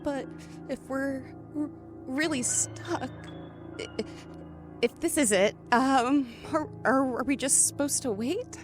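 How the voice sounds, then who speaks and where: Woman acting as an anxious trapped woman, unhurried. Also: high voice, music in the background. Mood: nervous. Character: anxious young woman trapped in an elevator with a stranger. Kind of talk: audio thriller acting with first-person narration.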